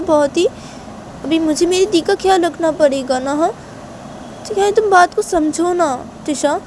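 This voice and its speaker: A woman's voice: fairly high pitch, noisy background, voice pushed up, natural voice. A woman voicing a placating, exasperated young woman in a story, coaxing and repeating herself.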